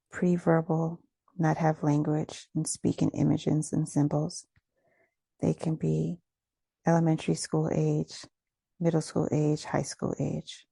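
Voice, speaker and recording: A woman leading a guided meditation, giving gentle, soothing instructions with unhurried phrasing and pauses. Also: low-pitched, creaky, slightly rough, dry recording.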